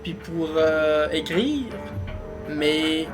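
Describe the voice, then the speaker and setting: A man with a Québécois accent co-hosting a podcast, rambling casually, laughing often and trailing off quietly. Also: on the high side, expressive, very slow, music in the background. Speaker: podcast co-host. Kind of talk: casual chat.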